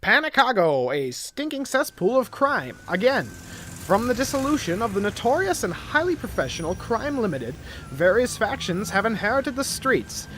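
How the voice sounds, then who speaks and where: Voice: medium pitch, big pitch swings, normal speed, music in the background, close to an everyday voice. A performer playing a bombastic, mock-serious narrator of a tongue-in-cheek superhero radio serial. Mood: mock-grave.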